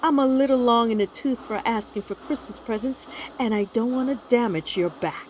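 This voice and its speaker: A woman plays a brisk older doctor in a radio play. She is dry and businesslike, with a slightly high voice over a somewhat noisy recording. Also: a little mellow, lightly acted. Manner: curt, businesslike.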